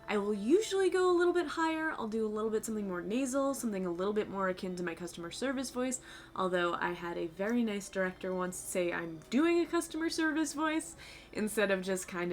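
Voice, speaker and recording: A young woman streamer with a low, fried voice, chatting casually with her viewers in a rambling, loose way, her voice a little tired and sore. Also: fairly bright tone. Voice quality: low voice with vocal fry. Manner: rambling, talks to chat, swears casually. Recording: faint music behind.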